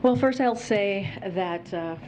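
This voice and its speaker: A woman answers from a press briefing podium in a guarded way, falling back on the same set lines. Her voice sits at a middle pitch. Clear background noise runs under the recording. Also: takes it slowly. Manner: guarded, repeats set phrases. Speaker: press secretary. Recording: noisy briefing room.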